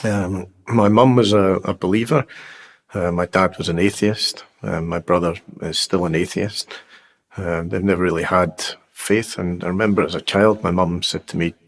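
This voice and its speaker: A man in his fifties telling his life story in an interview, unhurried and halting, with plenty of fillers and restarts. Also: low voice, steady tone. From Scotland. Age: 50s. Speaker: guest sharing his testimony. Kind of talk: personal testimony interview.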